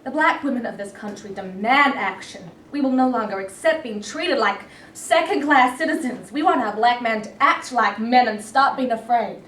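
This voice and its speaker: A woman's voice: a little high, normal speed, few pauses, natural voice. A woman playing a militant, impatient activist in a staged play reading, with a rallying manner. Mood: defiant, urging. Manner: forceful, rallying. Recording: echoey room, distant mic, background noise.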